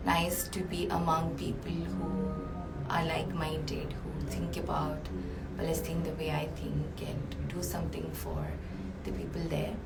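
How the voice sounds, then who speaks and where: A tired, hoarse woman hosts a live session as a business coach, chatty with many ums and uhs, speaking from a small cold cabin. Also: deep voice, unhurried, over loud music. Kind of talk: live-streamed talk. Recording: roomy, far from mic, noisy.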